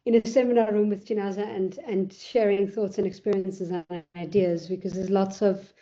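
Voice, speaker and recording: A woman's voice: mid-range voice, level tone, normal speed, warm tone. A woman joining the discussion in an online seminar, searching for words and repeating herself, heard over a video call. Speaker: seminar participant. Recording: dull lo-fi audio.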